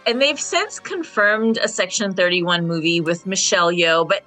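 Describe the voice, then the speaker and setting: A woman's voice: fairly low pitch, moderate pace, bright, forceful tone, soft background music. A woman speaking as a panelist in a casual roundtable discussion.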